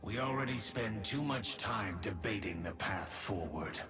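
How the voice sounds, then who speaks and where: An actor playing a vengeful, grim male demon archer in game voice lines, with a low, slow and menacing delivery. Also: expressive. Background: game music.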